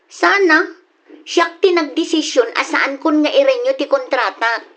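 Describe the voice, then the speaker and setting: A woman plays a dutiful yet headstrong daughter in a radio drama. Her voice is somewhat high. She speaks with an Ilocano Filipino accent. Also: measured pace, fairly bright tone. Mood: defensive.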